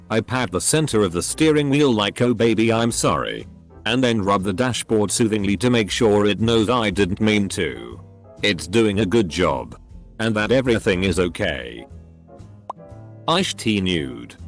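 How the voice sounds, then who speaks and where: A synthetic male narrator reading online posts aloud, with stiff delivery that speaks punctuation marks as words. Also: on the low side.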